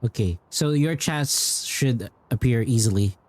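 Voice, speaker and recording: A man's voice: medium pitch, expressive, measured pace, darkish tone, dry recording. A man livestreaming a game, chatting casually and rambling as he repeats himself.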